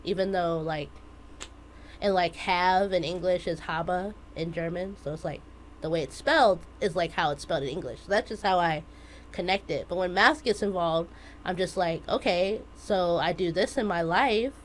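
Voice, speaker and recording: A woman in her twenties, a math teacher chatting casually as a guest, telling stories in an animated way. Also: fairly low pitch, normal speed, a little hiss or hum, poor audio. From United States. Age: 20s. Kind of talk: casual interview chat.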